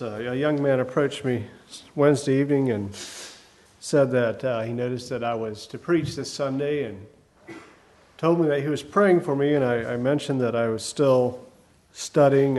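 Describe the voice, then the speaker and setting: A man delivers a church sermon in a folksy, unhurried manner, pausing often with fillers. His voice is hoarse from a cold, a little crackly at times. His pitch sits in the middle for a man. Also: a little mellow. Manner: folksy, hesitant with many fillers. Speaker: preacher.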